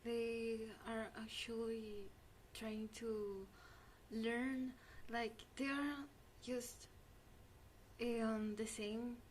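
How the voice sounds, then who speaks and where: Young female student, Spanish first language; unhurried, halting answers, faint room noise. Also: a little high, little pitch movement, low-quality recording. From Spanish-speaking country. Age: young adult. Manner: hesitant, many fillers and restarts. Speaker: student guest. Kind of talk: interview answers.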